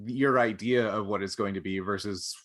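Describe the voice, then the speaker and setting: A man's voice: fairly low pitch, fairly even intonation, normal speed, fairly bright tone. A man co-hosting a podcast, explaining his thoughts in a rambling, thoughtful way during a casual discussion. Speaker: podcast co-host.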